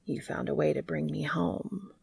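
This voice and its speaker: A woman voicing an earnest, devoted male bee in a storybook, speaking softly, tenderly and deliberately. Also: sits low, vocal fry, breathy edge, no room sound, voice pushed down, heavily acted. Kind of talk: storybook character reading.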